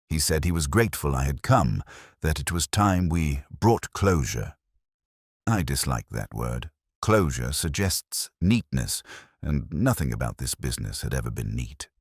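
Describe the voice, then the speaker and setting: A man playing a weary, melancholy police inspector, narrating his case in a measured, literary, brooding way as a first-person detective story. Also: extremely low pitch, breathy edge, no echo, studio quality, full character voice.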